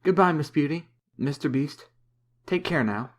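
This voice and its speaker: A man playing a courteous, brisk young office clerk in a comic dub. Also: fairly high pitch, lively intonation. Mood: polite, brisk.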